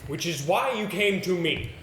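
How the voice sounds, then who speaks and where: A man's voice: high voice, lively intonation, a bit bright. Pompous man playing an acting coach, echoey theater. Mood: insistent, grand. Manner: pompous, declamatory, deliberate diction. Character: imperious, exacting male acting coach with grand theatrical flair. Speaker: stage actor in an amateur two-man play. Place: indoor theater stage. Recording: very echoey theater, distant mic, noisy.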